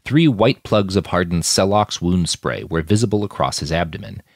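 Low-voiced, expressive male audiobook narrator. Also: moderate pace, gravelly, dry recording, clean studio sound. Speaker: author narrator.

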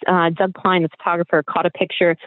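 A woman in her late thirties tells candid stories with lots of laughter. She comes through a thin, phone-like line that is dry and close, her pitch in a middle range. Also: fast talker, dry recording. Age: 30s. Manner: candid, animated storytelling with laughs. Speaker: guest, competitor. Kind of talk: casual interview chat. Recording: tinny phone-like remote line.

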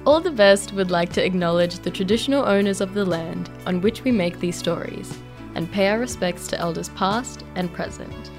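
A woman's voice: mid-range voice, moderate pace. A woman presenting radio, reading scripted closing credits. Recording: music bed under voice. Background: theme music.

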